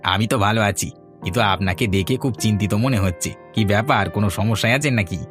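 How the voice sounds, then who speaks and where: A man's voice: fairly low pitch, quick pace, music in the background, close to an everyday voice. A young man voicing a confident nephew who supervises a water plant. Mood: reassuring. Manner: reassuring, explaining.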